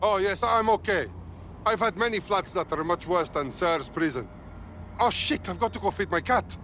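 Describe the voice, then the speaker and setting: A man's voice: high-pitched, expressive, normal speed, a bit bright, a little hiss or hum. A man voicing a cheeky, scrappy, defiant resistance operative in a video game, sweary in his talk. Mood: wry. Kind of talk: video game cutscene acting.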